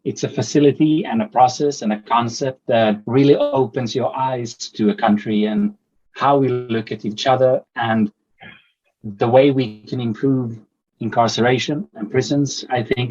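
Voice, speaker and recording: A man's voice: medium pitch, steady tone, moderate pace, a little mellow. Thoughtful Finnish-born man on a muffled line. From Finland. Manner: thoughtful, repeats words while searching for phrasing. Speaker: documentary producer, guest. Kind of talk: casual interview answers.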